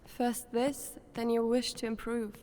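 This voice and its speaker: A woman plays a young girl-group member in an audio drama. Her voice is fairly high, and her manner is calm and reassuring. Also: measured pace, a little hiss or hum, lightly acted.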